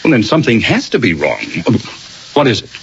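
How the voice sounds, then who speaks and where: Casting: self-assured, jocular executive husband, animated delivery, vintage radio drama, muffled noisy broadcast sound. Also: male voice, mid-range voice, brisk, vocal fry. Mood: puzzled, concerned. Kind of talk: old-time radio drama acting.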